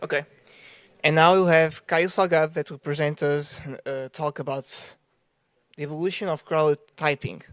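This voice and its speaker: A man's voice: fairly high pitch, steady tone, moderate pace, no echo. A man hosting a conference session, introducing the next speaker in a brief, informal way. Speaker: session host. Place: auditorium.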